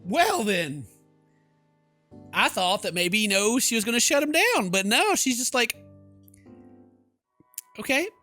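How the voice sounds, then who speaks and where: A man's voice: very high voice, big pitch swings, unhurried, long pauses, pushed and bright. A man hosting a game playthrough, reading story text aloud and adding joking, playful asides. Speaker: gaming video host. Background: game music.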